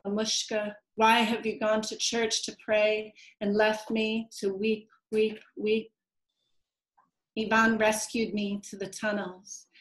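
A woman author on a video call, reading her prose poems in a soft, measured way. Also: mid-range voice, low-quality recording. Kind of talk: slow poetry reading.

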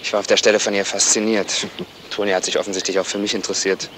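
Actor as low, quick-talking earnest young lover. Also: male voice, level tone, close to an everyday voice. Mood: enamoured. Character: young man in love, bewildered and earnest. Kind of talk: 1970s German radio crime drama acting. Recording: noisy old radio play recording.

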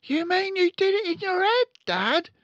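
A man voicing an eager, dutiful young son in a storybook, slow and obedient. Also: very high voice, big pitch swings, fairly bright tone, no room sound, highs cut off, voice pushed up, exaggerated voice. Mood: eager, awed. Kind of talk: storybook read-aloud character voice.

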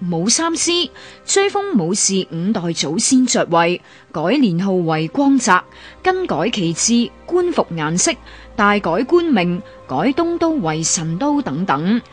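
Hong kong cantonese lady reading a history script, formal but lively intonation, faint music under it. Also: medium pitch. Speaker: radio narrator.

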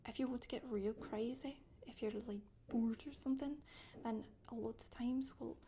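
A woman in her thirties playing a chatty Scottish witch in soft-spoken, breathy, deadpan ASMR storytelling with a put-on Scottish accent. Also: on the high side, normal speed, slight background noise, lightly acted. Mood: gossipy, nonchalant. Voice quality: breathy, whispery. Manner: hesitant, colloquial, deadpan.

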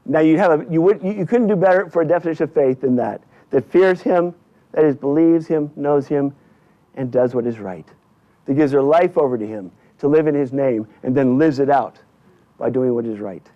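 Higher-voiced man preaching, slightly dull sound. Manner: emphatic, deliberate short phrases with repetition. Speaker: pastor. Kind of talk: sermon. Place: indoors in a church at a lectern. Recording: dull, slightly lo-fi church recording.

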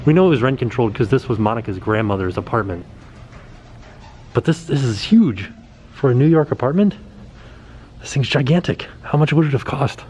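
A man in his forties excitedly pointing things out as he walks through a small indoor set, filmed on a handheld camera at arm's length. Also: mid-range voice, a little fast, long pauses, a little hiss or hum. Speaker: vlogger. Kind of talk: casual walkthrough vlog. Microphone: camera held at arm's length.

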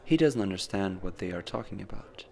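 A man delivers scripted documentary narration. His voice is on the low side with heavy vocal fry. He speaks in a measured, deliberate way, telling the story line by line. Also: animated, a little mellow.

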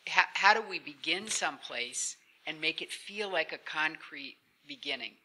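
A woman's voice: low-pitched, lively intonation, normal speed. A woman speaking unscripted as a conference panelist, earnest and emphatic, repeating key phrases, recorded in a conference room. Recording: noticeable room noise in conference hall.

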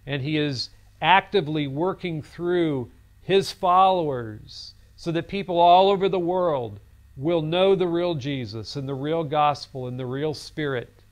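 Guy in his 50s lecturing in a studio classroom, a bit high voice, earnest storytelling, lapel mic. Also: measured pace. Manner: earnest, storytelling, gestures while talking. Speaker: seminary lecturer. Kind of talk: recorded seminary lecture. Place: studio classroom set with desk and screen.

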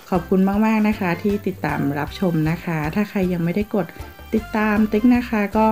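A woman from Thailand narrating a casual travel vlog, polite and chatty, with soft endings on her sentences. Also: mid-range voice. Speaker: travel vlogger. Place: outdoors in a city, partly on a tram.

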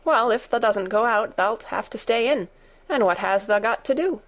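A woman's voice: on the high side, lively intonation, moderate pace, lightly acted. A woman voicing a good-tempered, outspoken young Yorkshire maid with a broad, homely dialect, in a storybook reading. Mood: stout.

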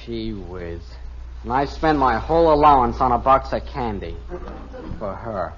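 A man's voice: mid-range voice, expressive, a little slow, a bit bright. An actor playing a melodramatic, wounded teenage boy with an American accent in an old radio sitcom. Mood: mournful self-pity. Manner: whiny, indignant, mournful. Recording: muffled, faintly noisy vintage radio recording.

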